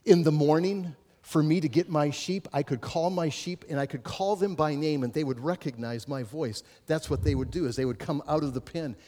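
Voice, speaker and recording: Animated, higher-pitched male preacher. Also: normal speed, few pauses. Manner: animated preaching with rising emphasis, then quieter teaching. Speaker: pastor. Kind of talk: sermon.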